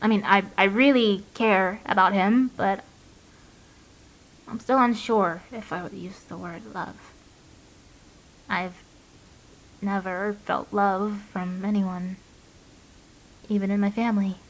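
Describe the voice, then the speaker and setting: An actress playing an anxious, guarded young mother confiding haltingly and emotionally into an audio diary in a drama. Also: medium pitch, steady tone, lightly acted, poor audio. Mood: subdued, unsure.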